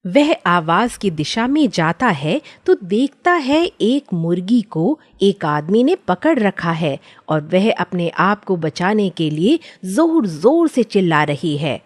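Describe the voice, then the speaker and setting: A woman's voice: fairly low pitch, sing-song, takes it slowly, few pauses. A woman reading scripted children's story narration.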